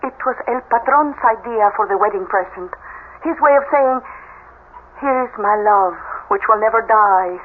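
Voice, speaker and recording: An actress playing a proud, stubborn housekeeper with a Spanish accent, solemn and unyielding, in a radio mystery. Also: female voice, fairly high pitch, moderate pace, slight background noise, close to an everyday voice. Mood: reverent. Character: proud, stubborn housekeeper devoted to her dead mistress. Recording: tinny telephone-like old radio recording.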